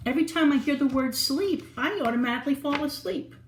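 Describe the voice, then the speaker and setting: A woman's voice: high voice, fast talker, echoey room. A woman reading a picture book aloud to young children in a playful, sing-song way, stopping to ask them questions. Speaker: children's storyteller.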